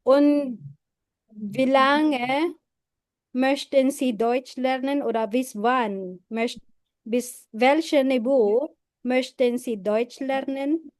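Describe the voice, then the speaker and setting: A woman's voice: high-pitched, extremely slow, darkish tone, no echo. A woman teaching German, patiently rephrasing her questions and switching to Tagalog to help a student. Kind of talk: classroom questioning.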